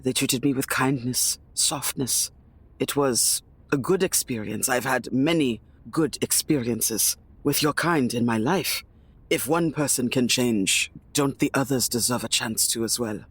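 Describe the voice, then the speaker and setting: A man acts out a gentle, earnest pleader in a tabletop fantasy game. The voice is husky and mid-pitched, with a measured, soft-hearted manner. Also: no echo. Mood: earnest pleading. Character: earnest, gentle Hildegard pleading for peace with fairies.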